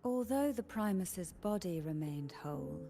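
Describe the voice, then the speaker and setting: An actress voicing a composed, enigmatic noblewoman in a video game, recounting grim old secrets in measured, formal, faintly teasing speech. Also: fairly low pitch, lively intonation, gravelly, mellow, a little hiss or hum. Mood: solemn, measured. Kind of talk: scripted video game dialogue. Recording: game music behind the voice.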